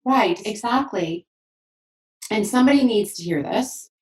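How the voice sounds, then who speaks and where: An enthusiastic woman hosts a podcast conversation, affirming her guest warmly and speaking in quick bursts. Her voice sits at a middle pitch, with a roomy echo from a mic placed some distance away. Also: expressive. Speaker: podcast host. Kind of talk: conversational interview.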